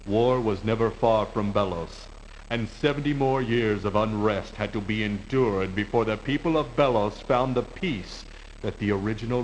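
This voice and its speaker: A man reading scripted narration for a video game epilogue. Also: fairly low pitch. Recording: faintly noisy 1990s game audio.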